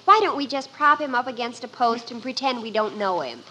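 A woman's voice: fairly high pitch, animated, fairly quick, background noise, close to an everyday voice. A woman playing a melodramatic, self-absorbed teenage daughter in an old-time radio sitcom. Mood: huffy, teasing. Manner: melodramatic, youthful.

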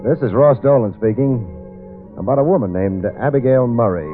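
A man's voice: mid-range voice, animated, moderate pace, close to an everyday voice. An actor playing a wry, hard-boiled private detective who narrates and stumbles over his words in a 1940s radio drama. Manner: wry, hesitant with many "uh"s. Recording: tinny old radio broadcast with music.

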